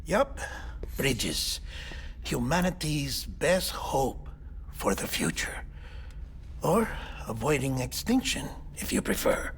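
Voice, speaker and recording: Eccentric coroner, animated gravelly male voice. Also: medium pitch, slow, a little hiss or hum. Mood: affable, wry. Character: eccentric, chatty male coroner, middle-aged, eager and theatrical. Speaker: doctor and former coroner in a game story. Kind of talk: video game cutscene acting.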